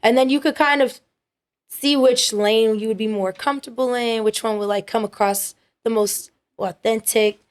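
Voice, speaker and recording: A young woman with a high-pitched voice, a singer-songwriter talking casually as an interview guest, relaxed, full of fillers and quick to laugh.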